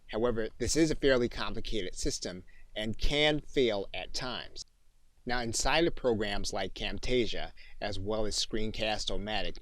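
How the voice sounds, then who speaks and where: Low-voiced man narrating a tutorial. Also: dry recording. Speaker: software course instructor.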